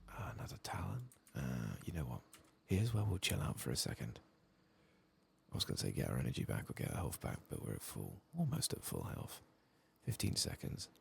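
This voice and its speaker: A soft-spoken man murmuring unhurried ASMR commentary over a game, often dropping into a whisper. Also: very deep voice, huge pitch swings, vocal fry, breathy edge. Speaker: ASMR gaming host.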